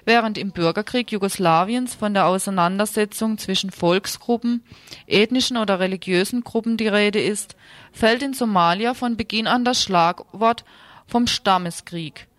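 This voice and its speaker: A woman presents radio news, reading commentary and announcements. Her pitch is average for a woman, and she is recorded with almost no room sound. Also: moderate pace, no echo.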